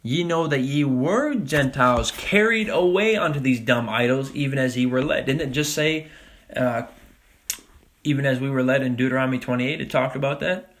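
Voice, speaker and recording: Man, religious teacher, casual sermon, slow deliberate scripture reading, conversational asides. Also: medium pitch, fairly bright tone. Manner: casual preaching with frequent "man" and "all right?"; reads scripture slowly and deliberately. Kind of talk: Bible study sermon.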